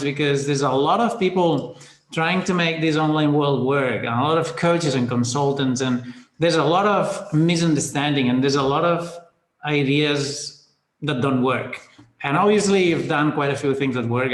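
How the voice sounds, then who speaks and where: A man's voice: a little high, normal speed. A man hosting a live video call, upbeat and friendly, calling his guest brother and man. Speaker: host. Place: indoors, large room with staircase. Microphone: laptop webcam video call. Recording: roomy webcam audio.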